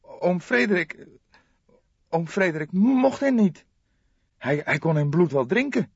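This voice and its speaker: A man's voice: high-pitched, big pitch swings, slightly rough, no echo, dull audio, voice pushed up, heavily acted. A man voicing an agitated, indignant male heir who stutters and halts in an audiobook. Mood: bitter agitation. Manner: stuttering, halting.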